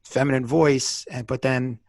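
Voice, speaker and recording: A man's voice: mid-range voice, fairly even intonation, a little slow, darkish tone. A man hosting a casual interview, eagerly recapping his guest's stories and laughing along. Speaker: podcast host.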